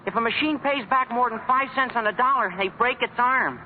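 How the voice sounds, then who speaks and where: A man's voice: very high-pitched, fairly quick. A bossy teenage boy chief. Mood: eager. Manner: earnest, excitable, self-important. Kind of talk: 1950s radio sitcom acting. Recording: tinny, hissy old radio broadcast.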